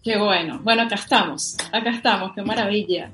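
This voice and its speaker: A woman's voice: mid-range voice, a little fast, over music. A young Venezuelan woman with a Venezuelan accent, chatting enthusiastically as a guest on a podcast, with lots of fillers. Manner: enthusiastic, many fillers, animated. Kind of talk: casual podcast interview.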